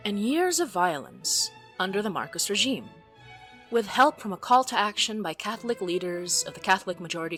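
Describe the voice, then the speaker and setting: A woman reading a scripted informational announcement formally, with deliberate emphasis. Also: on the high side, a bit bright. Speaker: podcast announcer.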